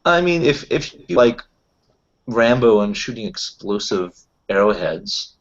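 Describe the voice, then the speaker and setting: A man chatting casually as a tabletop roleplaying player, pausing mid-thought as he goes. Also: on the low side, very animated. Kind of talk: casual chat. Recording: dull lo-fi voice recording.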